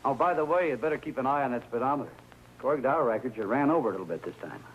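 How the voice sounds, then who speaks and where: A male actor plays an easygoing garage mechanic in 1950s crime-drama style. He chats in a casual, folksy way at a middling pitch. The old soundtrack is muffled and noisy. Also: expressive, very fast, close to an everyday voice. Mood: easygoing, chatty.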